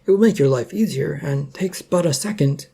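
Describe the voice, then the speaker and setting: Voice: medium pitch, normal speed, vocal fry, dark tone, breathy edge. A storyteller voicing a nervous but cheeky male underdog hero, wordy, wry and hesitant. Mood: nervous, wheedling.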